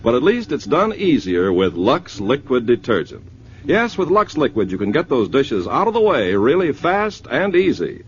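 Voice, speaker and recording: A man announcing a 1950s radio commercial as a persuasive pitchman. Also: sing-song, normal speed, slight background noise. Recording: dull old radio broadcast.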